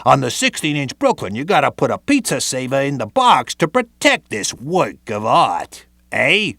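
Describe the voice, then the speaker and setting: A man's voice: medium pitch, wildly swooping pitch, moderate pace, creaky, pushed and bright, dry recording, studio quality. A man narrating a scripted training video in a streetwise tough-guy patter.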